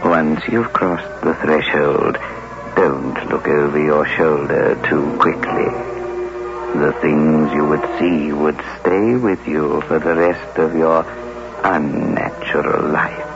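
An actor playing a sinister, ghoulishly inviting horror host, narrating slowly and theatrically in old radio style. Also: male voice, rumbling low, fairly even intonation, heavily acted. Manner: slow, theatrical, ominous. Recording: muffled old radio recording. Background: loud dramatic music.

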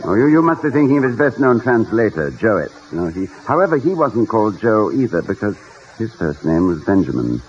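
A genial, courtly college president in a vintage radio comedy. His talk is hesitant and wordy, with very lively swings of pitch. The old broadcast is muffled and heavily noisy. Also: a little fast. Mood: hesitant, pedantic. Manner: hesitant, wordy, urbane, gently self-mocking. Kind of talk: 1940s-50s radio comedy acting.

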